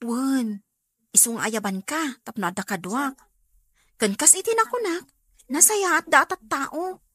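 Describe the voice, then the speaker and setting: A woman's voice: sits high, animated, unhurried, sharp tone, dry recording, studio quality, full character voice. A woman playing a friendly, persuasive neighbour in an Ilocano radio drama, coaxing in a conversational way.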